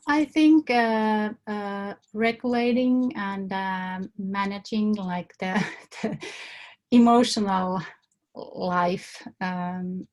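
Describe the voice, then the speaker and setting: Woman from Finland, interviewee, halting and thoughtful, unhurried delivery. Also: mid-range voice, darkish tone. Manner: hesitant, many fillers and restarts. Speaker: survey respondent. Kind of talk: hesitant answers in an interview.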